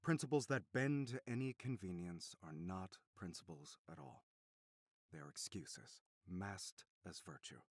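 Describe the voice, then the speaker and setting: A man playing a composed, severe lecturer in a visual novel, speaking with controlled, deliberate formal oratory. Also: low-pitched, breathy edge, no echo, studio quality, heavily acted. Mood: stern. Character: composed male lecturer, measured and severe about ethics.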